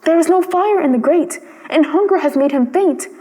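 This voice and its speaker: A gentle, sorrowful prince statue from a storybook , played by a woman. The high voice pleads solemnly and nobly. Long reverb surrounds each word. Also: moderate pace. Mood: gentle, compassionate. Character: sad, gentle, noble male prince statue.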